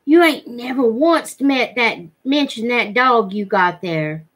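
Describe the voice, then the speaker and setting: A woman's voice: fairly high pitch, takes it slowly, a bit bright. Woman reading as a blunt, pushy backwoods man. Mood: suspicious. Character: huge hairy backwoods man, pushy, suspicious trader.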